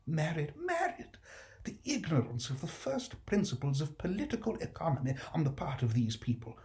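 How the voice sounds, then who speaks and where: A man doing a shrill fussy economist. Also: sing-song, moderate pace. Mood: indignant. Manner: sharp, exasperated, high-pitched outbursts. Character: shrill indignant male economist, fussy and scolding.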